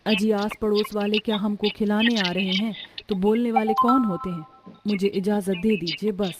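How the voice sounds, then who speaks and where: A woman's voice: mid-range voice, steady tone, normal speed, loud music behind the voice, lightly acted. Lady doing poor dutiful young housewife, earnest n pleading, storybook melodrama. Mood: worried. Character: poor, dutiful, long-suffering young daughter-in-law and mother. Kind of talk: melodramatic Hindi moral story acting.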